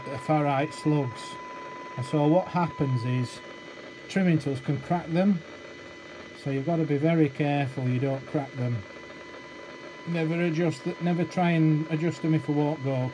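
A man giving an informal hands-on technical walkthrough, halting and thinking aloud as he works at a bench. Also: medium pitch. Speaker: electronics repair demonstrator. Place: indoors at an electronics workbench. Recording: very noisy amateur room recording, loud hiss. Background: loud electronic noise.

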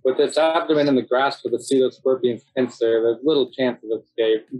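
A middle-aged man talks through a nature slideshow in a loose, easygoing way, dropping casual fillers between facts. His voice sits at a medium pitch and comes over a home video call. Faint music plays softly underneath. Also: a little fast, poor audio. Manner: relaxed, chatty, many fillers like "so yeah" and "um". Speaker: city cave biologist presenting. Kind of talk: casual narrated slideshow talk.

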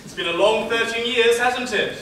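Casting: male actor as flamboyant British pantomime nanny, high-pitched, playful and motherly, recorded in a very echoey theatre. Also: moderate pace. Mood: chatty, flirty. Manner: exaggerated, playful, projecting to an audience. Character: camp, flamboyant middle-aged pantomime dame nanny, flirty and motherly. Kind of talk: stage pantomime acting. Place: on stage in a theatre, far camera. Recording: distant, echoey theatre hall, noisy ambience.

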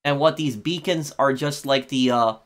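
A man's voice: mid-range voice, normal speed, fairly bright tone. A man giving casual let's play commentary, hesitantly thinking aloud as he goes. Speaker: gaming YouTuber.

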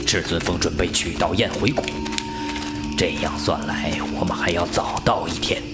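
Casting: male actor as low-voiced, serious clan chief, wuxia radio drama under loud music. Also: normal speed, natural voice. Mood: grave. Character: grave male beggar-clan leader. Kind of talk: Mandarin audio drama acting.

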